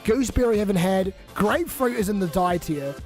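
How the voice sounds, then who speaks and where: A man's voice: high voice, animated, brisk, darkish tone, soft background music. A young man with a New Zealand accent who is sick, rambling and swearing casually on a live stream while reading chat aloud.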